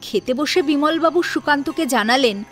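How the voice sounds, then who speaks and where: A woman narrating a scripted domestic drama as a storyteller, with an expressive, dramatic delivery. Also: high-pitched, moderate pace. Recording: music behind the voice.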